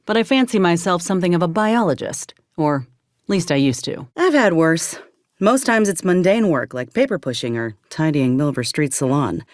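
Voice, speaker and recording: A tough, weary ex-army medic turned troubleshooter, acted by a woman for a video game. Her voice sits on the low side and swings freely in pitch, with a drawl. She talks in a blunt, folksy, sardonic way, recorded close and dry. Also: expressive, normal speed, dry recording, natural voice. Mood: guarded, matter-of-fact. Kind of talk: video game character acting.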